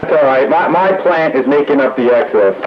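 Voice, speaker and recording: A man's voice: medium pitch, animated, brisk, over a noisy crowd. A man chatting casually over the radio. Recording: muffled, noisy radio transmission.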